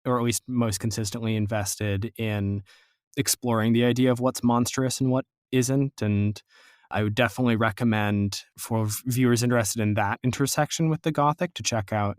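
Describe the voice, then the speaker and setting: A man's voice: medium pitch, fairly even intonation, normal speed, dry recording, studio quality. A man hosting an interview podcast in a conversational, wordy and playfully wry way. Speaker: podcast host.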